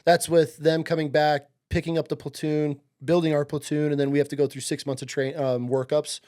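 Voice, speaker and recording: Male guest, late thirties, from upstate New York; relaxed, profane storyteller whose voice sits slightly high. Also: a little fast. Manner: laid-back, profane, says "dude" and "like" a lot. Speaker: podcast guest, Marine veteran. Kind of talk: casual interview storytelling.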